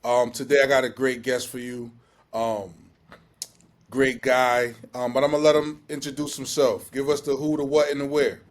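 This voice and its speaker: An upbeat man hosting an education podcast, with a mid-range voice. He welcomes listeners and puts questions to his guest with bright, energetic delivery. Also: medium pitch, moderate pace. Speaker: podcast host.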